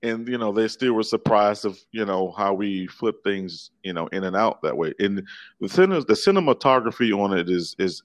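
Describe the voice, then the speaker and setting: Male filmmaker from D.C., very low voice, chatty and rambling guest. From Washington, D.C. area, USA. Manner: rambling, many fillers and restarts. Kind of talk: casual interview. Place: remote call from Italy.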